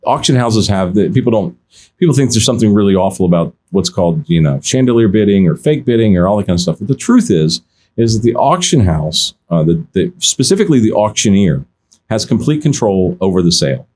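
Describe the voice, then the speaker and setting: A man who works in car auctions, telling stories in a relaxed podcast chat, often restarting his sentences and quoting other people. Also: lowish voice, brisk. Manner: animated anecdotes, many restarts, quotes others. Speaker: auction insider guest. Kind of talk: casual podcast storytelling.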